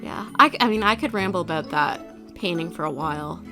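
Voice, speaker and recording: A woman's voice: lowish voice, animated, a little fast. A young woman with a stuffy, nasal voice from allergies, chatting casually and rambling as she talks through her video. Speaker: video creator. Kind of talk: casual commentary over a building video. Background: background music.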